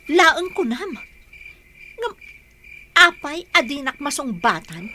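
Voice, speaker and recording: A woman's voice: sits high, big pitch swings, takes it slowly, pushed and bright, a little hiss or hum, exaggerated voice. An actress playing a suspicious, neglected wife and mother in a radio drama, with a plaintive, pressing delivery.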